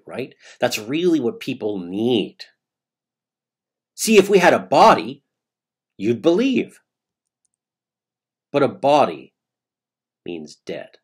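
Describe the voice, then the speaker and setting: A man reading a scripted monologue in a conversational storytelling style, emphatic, with rhetorical questions and dramatic pauses. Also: on the low side, slightly rough. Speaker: playwright reading own monologue.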